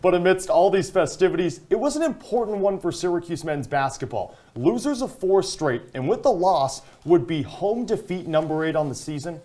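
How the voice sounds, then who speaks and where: Young high-pitched male sports broadcaster. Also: expressive, moderate pace, hardly any pauses, dark tone, no echo. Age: young adult. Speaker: sports broadcaster, play-by-play announcer and anchor. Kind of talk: sports commentary and presenting.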